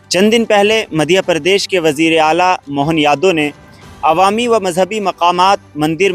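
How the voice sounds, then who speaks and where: A man hosting an online news update, reading scripted narration and commentary. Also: high-pitched. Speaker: host of an online news channel. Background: background music.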